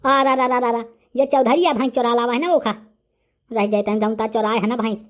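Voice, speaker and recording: A short-tempered village bully, acted out by a man in a comedy cartoon, with a notably high voice. He speaks with a Bundelkhandi accent, boasting and ranting threats as he throws his weight around. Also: fairly even intonation, brisk, fairly bright tone. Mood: angry, threatening. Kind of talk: comic cartoon dialogue.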